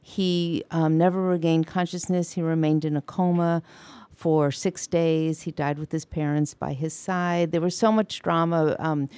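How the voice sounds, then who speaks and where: An older woman guest on a radio talk show recounts a story in an earnest, loud voice. Her tone is mellow and slightly low. The sound is close and dry. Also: steady tone, a little slow, clean tone, no room sound. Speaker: guest author. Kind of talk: radio interview answers.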